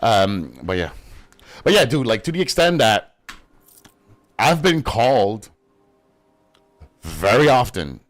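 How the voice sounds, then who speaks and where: A man's voice: mid-range voice, very animated, slow pace, pauses often. A young man from Quebec whose first language is French, speaking English with a Quebec French accent in a rambling, profane, laughing casual chat while he plays a game. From Quebec, Canada. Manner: rambling, swears a lot, laughs often. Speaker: gaming streamer.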